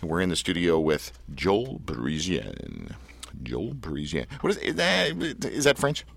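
Man, radio host, bright voice, lively pitch, jokey, studio interview. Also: measured pace, gravelly, no room sound. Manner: joking, wound up. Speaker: radio talk show host.

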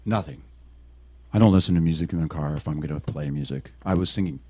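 A man from Canada with a gravelly, loud, projecting voice, chatting as a musician guest in a radio studio. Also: extremely low pitch, quick pace. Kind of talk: casual interview chat.

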